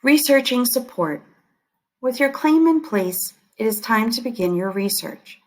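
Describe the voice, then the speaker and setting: A woman teacher reading scripted lesson text aloud in a deliberate, instructional manner. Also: on the high side, lively intonation.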